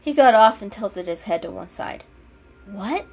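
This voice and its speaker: A woman's voice: mid-range voice, animated, moderate pace, darkish tone. A woman giving a dramatic storybook narration. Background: music.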